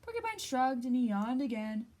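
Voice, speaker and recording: A woman hosting a library storytime, reading a picture book aloud expressively with deliberate, dramatic pauses. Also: sits high, big pitch swings, warm tone.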